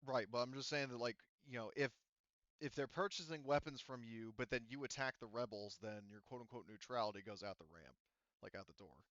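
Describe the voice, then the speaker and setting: A man talks as a game master in a casual, roundabout way, hedging as he explains options. His voice is medium-pitched, and the sound is dry and a little muffled, like online voice chat. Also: brisk, a bit bright, no room sound. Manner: rambling, hedging explanations full of "like" and "you know". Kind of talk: casual tabletop game discussion.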